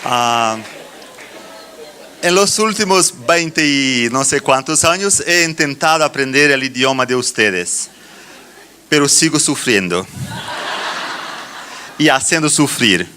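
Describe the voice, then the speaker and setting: A middle-aged man with a Brazilian Portuguese accent giving a church conference address in halting phrases with deliberate pauses. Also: medium pitch, animated, noisy background. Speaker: conference speaker, children's ministry teacher.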